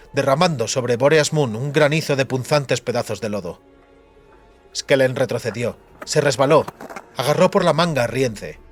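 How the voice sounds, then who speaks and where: A man narrating a fantasy audiobook in a dramatic, scripted style. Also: medium pitch.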